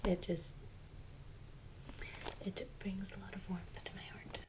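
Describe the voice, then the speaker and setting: A woman doing soft-spoken ASMR in a breathy near-whisper, with a casual, gentle, musing manner. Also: mid-range voice, level tone, takes it slowly. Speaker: ASMR video host.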